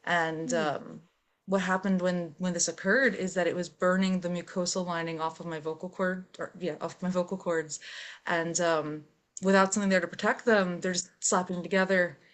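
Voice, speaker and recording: A young adult woman, a metal singer, talking openly as an interview guest in a relaxed, chatty way with frequent fillers. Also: lowish voice, normal speed. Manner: relaxed, open storytelling with fillers. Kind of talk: casual interview chat.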